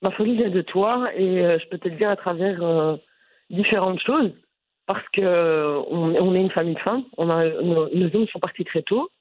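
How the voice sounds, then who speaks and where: A woman from Brussels with a Brussels French accent, answering interview questions in an animated, frank way with frequent restarts. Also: on the low side, normal speed, no room sound. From Brussels, Belgium. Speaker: content creator guest. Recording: phone-line audio.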